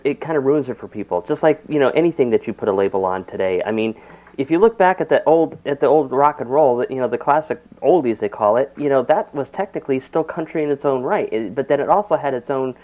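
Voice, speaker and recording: A man's voice: medium pitch, animated, fast talker. A man hosting a radio interview in a casual, conversational way, often stumbling and repeating words. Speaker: radio show host. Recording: tinny phone-line sound.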